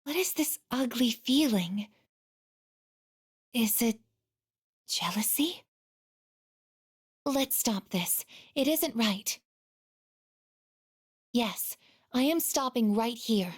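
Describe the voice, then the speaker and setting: A woman voicing a gentle, earnest young female android in a video game, with measured, formal, softly hesitant phrasing. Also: on the high side, expressive, breathy edge, heavily acted. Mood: conflicted, tender. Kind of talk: English video game voice acting.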